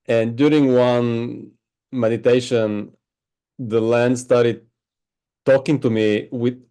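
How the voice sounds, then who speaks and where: A man who teaches meditation, answering interview questions in a slow, reflective way and often checking in with "right?". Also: mid-range voice, dark tone. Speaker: spiritual teacher. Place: home on a Hawaiian island.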